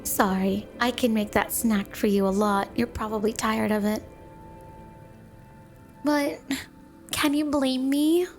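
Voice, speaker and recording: A woman's voice: on the high side, fairly even intonation, takes it slowly, long pauses, faint music behind. A woman voicing a flirty, clingy yandere snow woman spirit in an ASMR roleplay, speaking playfully and coyly to the listener as a doting wife. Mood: sweet, coaxing. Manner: playful, coy, doting, teasing.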